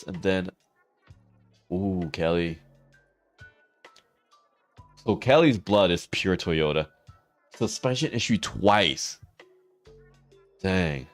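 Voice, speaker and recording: A hoarse man, chatting casually with viewers on a livestream and laughing often. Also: low voice. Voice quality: raspy. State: hoarse, losing his voice. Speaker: streamer.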